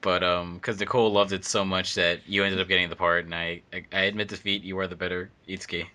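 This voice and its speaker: Deep, bright-toned man rambling casually. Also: level tone, fast talker. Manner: rambling, many fillers and restarts. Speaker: fandub editor and voice actor. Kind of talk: casual commentary chat.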